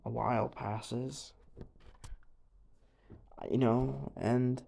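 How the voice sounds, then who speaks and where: A young man playing an anxious, haunted teenage boy in an audio drama monologue, speaking haltingly with stammers, sighs and a creaky voice. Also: medium pitch, fairly even intonation, dark tone, full character voice. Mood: uneasy, doubtful. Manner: halting, stammering, sighing, nervous breathy laughs.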